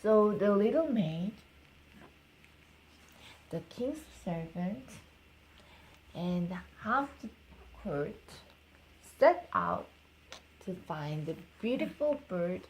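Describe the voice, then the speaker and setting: A woman's voice: medium pitch, sing-song, very slow, pauses often, slight background noise, low-quality recording. A young woman, a native Korean speaker with a Korean accent, reading a storybook aloud to her baby and chatting to the child in between. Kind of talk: storybook read-aloud with informal baby talk.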